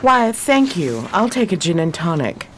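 Lowish, lively widow heroine, acted by a woman. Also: unhurried, a little hiss or hum. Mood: polite, sociable. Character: polite, curious adventurous 1920s American widow heroine. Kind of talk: radio play acting.

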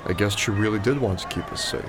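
A man's voice: on the low side, quick pace, noisy background, close to an everyday voice. A man playing an earnest, indignant young student in a radio drama, urgent and incredulous in delivery. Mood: shocked, dismayed.

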